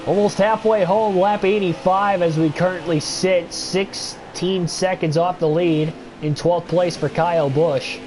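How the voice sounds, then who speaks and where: A young man with a stuffy nose talks loosely to his livestream viewers, filling pauses with "uh" and "like" as he goes. His voice sits a little high for a man. A steady hum of noise runs under the home recording. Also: low-quality recording. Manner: rambling, thinking aloud with many fillers. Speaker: gaming livestreamer. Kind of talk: casual livestream chat and race commentary.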